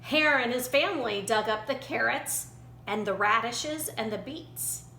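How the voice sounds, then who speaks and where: A woman storyteller reading a children's picture book aloud in an animated way. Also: on the high side, measured pace. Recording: roomy echo, faint background noise.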